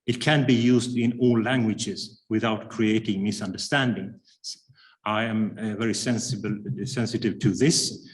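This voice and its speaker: Man giving a measured lecture. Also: on the low side, steady tone. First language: Swedish. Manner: measured and careful, with frequent hesitation fillers. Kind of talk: academic lecture read from a prepared paper.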